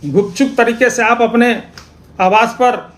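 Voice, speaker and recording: A man's voice: very high-pitched, fairly even intonation, a little slow. A man giving an accusatory political speech, posing rhetorical questions and repeating phrases for emphasis. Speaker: opposition party politician.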